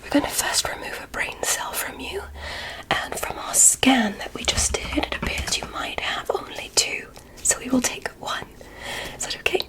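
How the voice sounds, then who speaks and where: A woman plays a curious alien doctor who speaks in a soft whisper. Her voice sits high whenever it surfaces from the hush. She is courteous and a little formal, explaining each step to her human patient in ASMR roleplay fashion. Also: animated, normal speed, poor audio. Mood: calm, reassuring.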